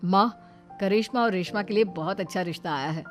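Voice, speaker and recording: A woman voicing a caring but quick-tempered elder brother who instructs the family in a cartoon drama. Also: mid-range voice, faint music behind, natural voice. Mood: instructing. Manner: instructing, authoritative.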